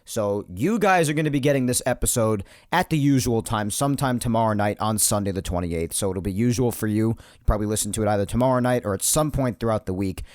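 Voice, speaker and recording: A man hosting his own sports podcast, chatty and rambling, his voice slightly hoarse from yelling earlier. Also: fairly low pitch, fast, few pauses, no room sound, studio quality. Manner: animated, chatty, rambling asides. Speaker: podcast host. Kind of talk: solo sports podcast monologue.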